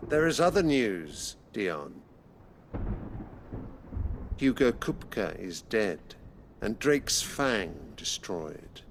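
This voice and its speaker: A man's voice: fairly low pitch, sing-song, drawn-out words, creaky, pauses often, background noise, full character voice. A man playing an imperious, zealous older emperor and father in a video game, speaking in grand, pompous oratory. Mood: grandiose, commanding. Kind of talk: dramatic video game cutscene acting.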